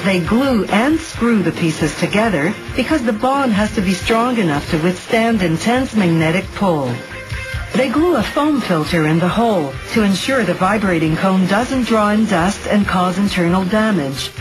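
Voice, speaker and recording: A woman narrates an explanatory documentary in a studio voice-over. Her pitch is average for a woman and her delivery is measured and instructional. Music plays clearly behind her. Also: animated, low-quality recording. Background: background music.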